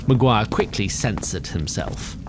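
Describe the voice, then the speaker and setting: A man's voice: lowish voice, sing-song, a little slow, creaky, noisy background. A man narrating a scripted fantasy audio drama in a formal, literary, wry manner.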